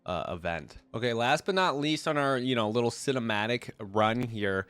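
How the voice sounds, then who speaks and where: A man's voice: medium pitch, lively intonation, moderate pace, smooth, no room sound. A man congested from a cold, giving casual, informal reaction commentary as a video host, thinking aloud as he goes. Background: loud music from the videos watched.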